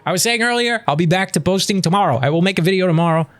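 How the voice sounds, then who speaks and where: A man's voice: sits high, rapid-fire, fairly bright tone, faint music behind. A man chatting casually with his live-stream viewers before starting a game, reading their messages aloud and answering them.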